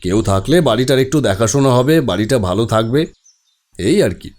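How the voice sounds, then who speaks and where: A man playing a courteous male village headmaster in an animated story, reassuring and explaining things helpfully. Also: medium pitch, normal speed, voice pushed down, natural voice. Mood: courteous, obliging.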